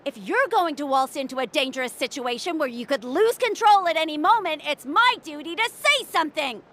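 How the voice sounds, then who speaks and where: An actress playing a fussy, exasperated younger sister and dutiful young doctor in a video game, scolding and emphatic. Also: female voice, high-pitched, animated, brisk, sharp tone. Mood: indignant.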